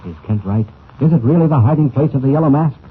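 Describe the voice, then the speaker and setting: A man's voice: medium pitch, lively intonation, fast talker, breathy edge. A man announcing and narrating a 1940s radio serial in a grandiose, suspenseful, emphatic style. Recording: old narrow-band radio recording, noisy.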